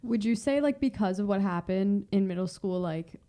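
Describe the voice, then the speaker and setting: A woman's voice: mid-range voice, expressive, normal speed, mellow. A woman co-hosting a podcast, asking a long, thoughtful question with some hesitation. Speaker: podcast co-host. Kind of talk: interview question.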